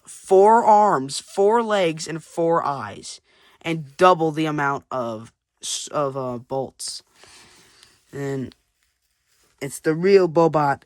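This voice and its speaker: A casual creator narrates a homemade comic in a mid-pitched voice. The delivery rambles, with frequent unsure asides. The sound is dry, with very little room in it. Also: dry recording. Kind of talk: casual read-aloud commentary.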